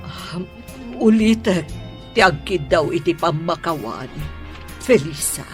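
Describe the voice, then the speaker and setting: Effusive, lively Filipino mother-in-law. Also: low-pitched, slow pace. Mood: warm, motherly. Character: older mother-in-law, doting matriarch pleased with her children's weddings. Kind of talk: radio drama acting. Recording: music bed under the voice.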